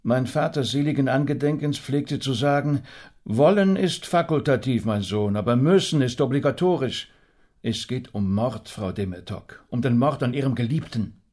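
A rabbi turned sleuth with a rough, husky male voice, played by an actor. He questions formally and insistently, as in a radio drama, pressing his point with measured persuasion. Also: mid-range voice, a little mellow, natural voice.

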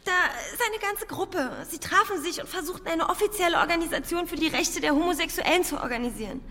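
Anguished high-voiced young student, reverberant. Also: female voice, fast talker, fairly bright tone. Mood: reluctant, confessing. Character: frightened, bitter young female student informant in Soviet-era thriller. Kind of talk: radio drama acting. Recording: long reverberant hall, music behind.

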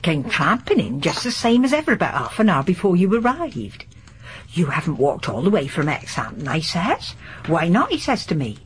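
Gossipy landlady, lowish female voice, very animated, folksy radio-drama delivery. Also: normal speed, a little hiss or hum. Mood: gossiping, knowing. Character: gossipy, garrulous older village landlady and charwoman. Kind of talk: broadcast radio drama acting.

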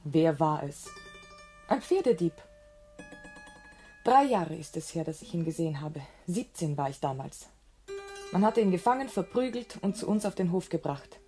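A woman's voice: lowish voice, expressive, normal speed, pauses often, warm tone, faint music behind, lightly acted. An actress playing a proud, disdainful young woman with a cool, forthright manner in a radio play. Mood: wistful recollection. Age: young adult. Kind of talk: German radio play acting.

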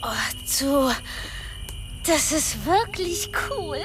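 A woman's voice: high voice, lively intonation, extremely slow, noisy background, heavily acted. A woman playing a naive, whiny young prostitute in a German radio crime drama, hesitant and puzzled. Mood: curious, impressed. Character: naive, whiny young female street prostitute, slow on the uptake.

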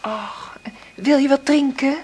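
An actress in a Dutch radio play playing a weary, struggling mother, husky and intimate. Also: sits high, slow. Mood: soothing. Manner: intimate murmured monologue, gentle with her child. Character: weary, struggling mother confiding in her diary.

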